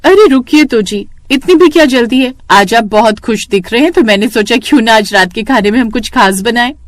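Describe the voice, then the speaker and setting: A woman's voice: a little high, brisk, natural voice. A woman voicing a loving, playful young wife in a cartoon moral story, speaking in a sweet, affectionate, coaxing way. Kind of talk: acted dialogue in a Hindi moral cartoon story.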